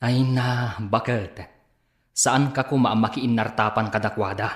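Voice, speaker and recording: A man's voice: mid-range voice, unhurried, reverberant, close to an everyday voice. A man with a Filipino accent playing an exasperated husband who scolds reproachfully in a comedy radio drama. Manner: reproachful, expressive. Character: exasperated husband scolding his spendthrift wife.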